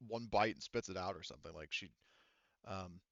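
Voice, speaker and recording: A man narrating a tabletop roleplaying game as game master, improvising in a halting, thinking-aloud way. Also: low-pitched, creaky, fairly bright tone, no room sound, muffled recording.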